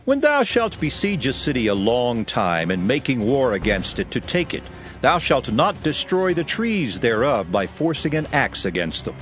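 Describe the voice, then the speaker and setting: A man narrating scripture in a solemn, formal and measured manner, as in a dramatized audio reading. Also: medium pitch, big pitch swings, a bit bright, faint music behind.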